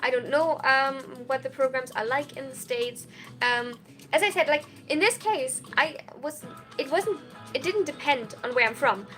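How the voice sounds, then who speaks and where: Woman, 21, chatty high-voiced streamer answering chat, with faint music underneath. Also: normal speed, fairly bright tone. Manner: chatty and giggly, full of "like" and "um". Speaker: streamer and college student. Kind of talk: casual livestream chat answering viewer questions.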